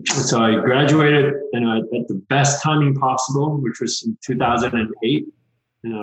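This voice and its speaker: Relaxed man from Malaysia, lower voice. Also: reverberant, low-quality recording. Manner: relaxed, frequent "um" and "you know" fillers. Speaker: guest. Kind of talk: casual interview.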